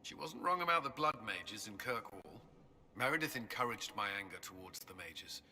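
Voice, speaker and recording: A man's voice: fairly low pitch, animated, normal speed, bright, forceful tone, slight background noise, heavily acted. An actor playing a guilt-ridden male templar commander in a video game, speaking solemnly and earnestly. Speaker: game character.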